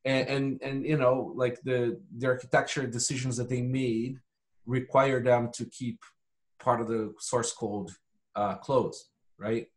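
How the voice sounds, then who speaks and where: A man's voice: medium pitch, little pitch movement, measured pace. A man from Brazil giving casual, matter-of-fact explanations as a podcast guest. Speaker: podcast guest, hardware company founder.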